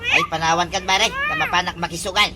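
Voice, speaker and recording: A woman with an Ilocano accent acting in a comedy sketch, pleading, whiny and loud. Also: fairly low pitch, wildly swooping pitch, quick pace, very noisy. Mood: defiant. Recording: clear music behind.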